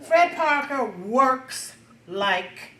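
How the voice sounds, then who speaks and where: Woman, seventies. Big projecting voice, unhurried and emphatic, plain-spoken informal speech in a reverberant room. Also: high-pitched, lively intonation, a bit bright. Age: 70s. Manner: emphatic, outspoken, with pauses for effect. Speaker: reunion speaker. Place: echoey indoor gathering room. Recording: echoey room.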